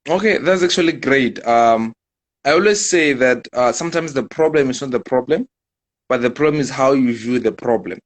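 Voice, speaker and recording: A man hosting a live-stream interview, speaking in a measured way and leaning on repeated set phrases. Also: medium pitch. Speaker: host.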